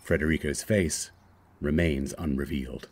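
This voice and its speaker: A man's voice: very deep voice, fairly even intonation, moderate pace. A man reading screen directions aloud as scripted narration for a screenplay.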